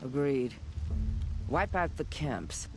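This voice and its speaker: Solemn, commanding war chief. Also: male voice, medium pitch, lively intonation, takes it slowly, over music. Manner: solemn, authoritative. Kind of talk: video game drama acting.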